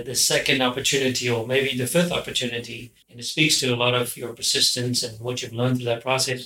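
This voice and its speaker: A man's voice: mid-range voice, bright, forceful tone. A South African man whose first language is Afrikaans, interviewing a guest with admiring, long, winding questions. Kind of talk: interview conversation. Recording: echoey room with faint noise.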